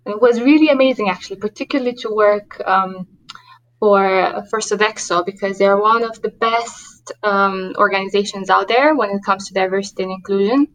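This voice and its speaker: A woman's voice: mid-range voice, normal speed, rough recording. A woman in her thirties from Romania with a Romanian accent, a guest on a podcast who talks earnestly about her work with many ums and restarts. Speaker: diversity and inclusion manager, guest. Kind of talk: podcast interview.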